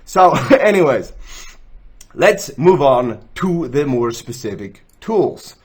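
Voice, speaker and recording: A man's voice: mid-range voice, big pitch swings, slow, husky. A young man from Switzerland with a Swiss accent, hosting a chatty screen-recorded tutorial from a small room, joking at himself as he explains. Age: young adult. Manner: chatty, self-joking, gestures while explaining. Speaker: gaming tools channel host. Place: indoors, small room, gaming chair.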